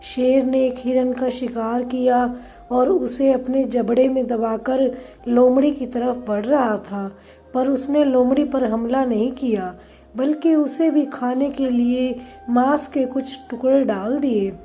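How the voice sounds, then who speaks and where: A woman narrating a scripted moral story in a measured, instructive way. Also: high voice, steady tone, mellow, faint music behind.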